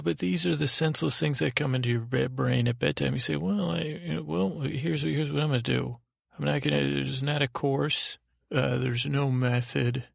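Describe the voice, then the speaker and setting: A man with a heavily creaky, gravelly voice rambles through a bedtime monologue. His delivery is halting and digressive, meant to soothe, and stays within a narrow pitch range. The sound is close and dry. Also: no room sound. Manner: lulling, soothing. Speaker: podcast host. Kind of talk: rambling bedtime monologue to lull listeners to sleep.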